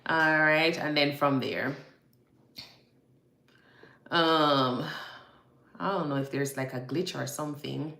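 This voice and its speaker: A woman from Jamaica with a low voice chats casually on a livestream, greeting viewers by name and repeating herself often. She is a nurse. Her voice carries the echo of a room. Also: slow, creaky, pauses often, sharp tone. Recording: echoey room.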